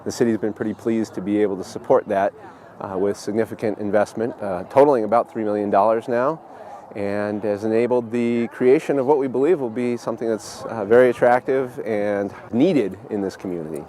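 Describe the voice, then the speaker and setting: A man city official speaking in a measured way with some hesitation. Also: on the low side, dark tone, a little hiss or hum. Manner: measured, with fillers. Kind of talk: interview soundbite.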